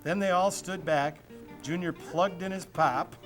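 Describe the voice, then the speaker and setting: A man's voice: high voice, measured pace. A man reading a rhyming children's story aloud, with music playing behind him. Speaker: storybook reader.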